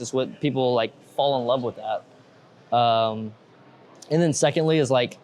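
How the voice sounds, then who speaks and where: A man's voice: mid-range voice, darkish tone. A man hosting a podcast in loose, rambling casual chat, full of restarts. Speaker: podcast host.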